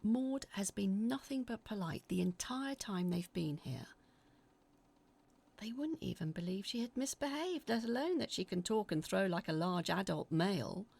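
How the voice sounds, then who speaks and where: A woman acting as an anxious narrator, telling a scripted first-person horror story in a confiding, uneasy, dramatic way with a husky, creaky voice. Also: mid-range voice, lively intonation, moderate pace, a little mellow. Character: anxious woman reading out her frightened letters about sinister events.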